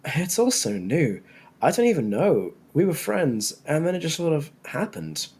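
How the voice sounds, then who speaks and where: A woman voicing a gentle, apologetic male friend in a fantasy story, talking in a casual, conciliatory way. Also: sits low, big pitch swings, moderate pace, breathy edge, heavily acted. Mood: tentative. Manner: casual, soft-hearted, conciliatory.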